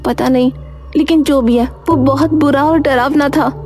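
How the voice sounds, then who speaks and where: A woman's voice: high-pitched, steady tone, normal speed, darkish tone, soft background music, lightly acted. A woman voicing a curious, determined young girl in a cartoon story, speaking earnestly. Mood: uneasy. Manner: earnest, questioning storybook dialogue. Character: curious, determined young village-visiting girls.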